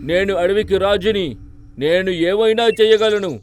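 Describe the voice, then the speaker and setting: A man's voice: high-pitched, measured pace, a little hiss or hum. A man voicing a boastful, bossy cartoon donkey who poses as a lion king, with a pompous, commanding manner. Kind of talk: children's cartoon story dubbing. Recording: music bed behind the voice.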